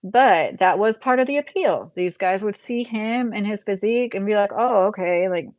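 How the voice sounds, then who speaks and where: A woman's voice: mid-range voice, animated, normal speed, a little mellow, no room sound. A woman in her late thirties with a Midwestern American accent, hosting a casual livestream and answering viewer comments in a rambling, conversational way. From Southern Indiana, USA. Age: late 30s. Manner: rambling, conversational, breaks into chuckles. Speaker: YouTube livestream host.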